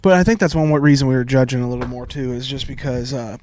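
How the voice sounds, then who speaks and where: A man's voice: medium pitch, fast, darkish tone, a little hiss or hum. A man in his late twenties co-hosting a casual podcast, teasing and joking. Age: late 20s. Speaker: podcast co-host. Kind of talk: casual chat.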